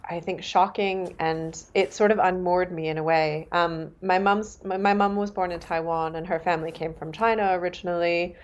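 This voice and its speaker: A Canadian-born woman author, first language Mandarin, speaking in a thoughtful, measured way with fillers and restarts. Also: on the low side, steady tone, lo-fi sound. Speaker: author and guest. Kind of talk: reflective interview talk.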